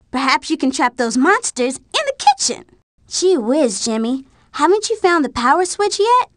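A woman voicing a bossy, sassy young schoolgirl in an animated video game, with a nagging, exclamatory delivery. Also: high voice, no echo, clean studio sound. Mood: exasperated, urgent.

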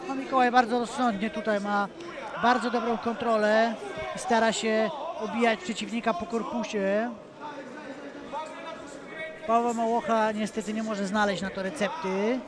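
High, flat-toned guy calling a cage fight, calm and measured, big crowd roaring behind. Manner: calm, measured, rises sharply at big moments. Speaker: play-by-play commentator. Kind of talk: live fight commentary. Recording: loud arena crowd behind.

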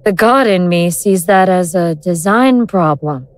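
A woman playing an awakened ancient goddess in an audio drama, speaking in a measured, sardonic, grandiose way with cold contempt. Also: on the low side, animated, dry recording. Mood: cold, lecturing.

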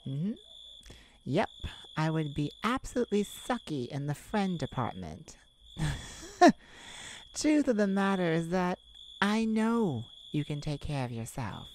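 A woman's voice: low-pitched, very animated, a little mellow, slight background noise, full character voice, low-quality recording. A woman voicing a sassy, kind-hearted cat friend in an ASMR roleplay, theatrical and teasing as she scolds the listener in a motherly way. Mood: caring, sassy. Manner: theatrical, teasing, drawn-out emphasis. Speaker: audio roleplay performer.

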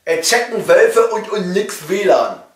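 A man's voice: sits high, sing-song, a little slow. A man voicing a reluctant, dismissive young slacker in a comedy monologue, protesting in repetitive street slang. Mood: alarmed refusal. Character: reluctant slangy German youth, alarmed and dismissive. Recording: roomy echo, distant microphone.

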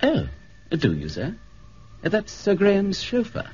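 Deferential husky attendant. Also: male voice, high voice, huge pitch swings, measured pace, a little mellow, heavily acted. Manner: polite, servile. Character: deferential male bank attendant. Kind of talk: 1970s radio drama acting. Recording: dull vintage radio recording.

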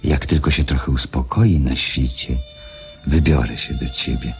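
An actor playing a kind sad king reading out an affectionate letter slowly and tenderly in a radio drama. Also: a bass voice, wildly swooping pitch, breathy edge, full character voice. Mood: earnest, affectionate. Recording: music playing behind the voice.

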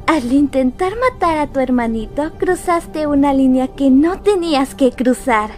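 A woman voicing a brooding young female sheep, cold and halting, in a dramatic horror comic dub. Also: sits high, animated, darkish tone, faint music behind. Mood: bitter, resigned.

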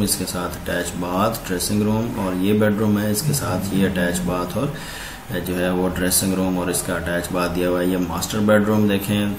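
A man from Pakistan walking through floor plans in a steady, explanatory voice-over, pointing out rooms one by one. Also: on the low side, noisy background. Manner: steady explanatory, repeating "ye dekhen" while pointing. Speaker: architect presenting house plans. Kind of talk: walkthrough narration of floor plans. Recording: roomy echo, faint ambience.

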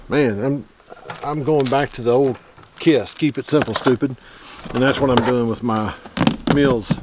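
A man, talking casually to camera at a wooded campsite, easygoing and rambling with many pauses and ums. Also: mid-range voice. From Middle Tennessee. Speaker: outdoors vlogger. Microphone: camera mic at close range. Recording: outdoor recording with clear background noise.

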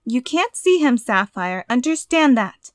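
A woman's voice: on the high side, sing-song, unhurried, no room sound, clean studio sound. A woman playing a stern, dismissive attendant to a lord in an amateur audio drama. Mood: firm.

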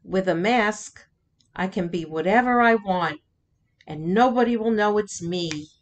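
A woman's voice: medium pitch, measured pace, natural voice. A woman voicing an imaginative young girl who is eager to play pretend, in a dramatic storybook reading. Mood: excited, imaginative.

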